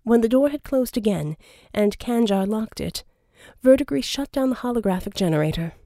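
A woman's voice: a little high, expressive, moderate pace, warm tone, no room sound, studio quality. A woman reading scripted fiction as an audiobook narrator.

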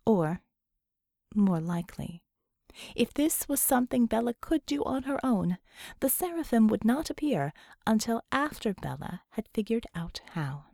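High-voiced woman narrating fiction. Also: very animated, measured pace, creaky, mellow, no room sound, studio quality. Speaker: audiobook narrator. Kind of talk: expressive scripted fiction narration.

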